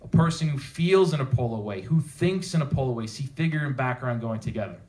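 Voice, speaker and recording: Guy doing a tech meetup talk, medium pitch, casual but emphatic, asks the room rhetorical questions. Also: lively intonation, a little fast, a little mellow, poor audio. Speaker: conference speaker. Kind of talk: technical presentation to a live audience. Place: theater at a developer meetup.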